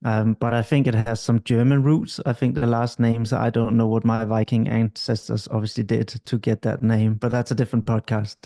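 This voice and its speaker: A middle-aged man from Denmark, a couples therapist explaining at length in an interview, calm and measured. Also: mid-range voice, vocal fry.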